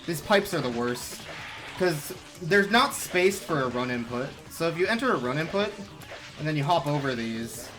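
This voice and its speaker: Wry young man commentating a speedrun. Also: mid-range voice. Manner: wry, self-deprecating, explanatory. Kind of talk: casual gameplay commentary. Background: game music.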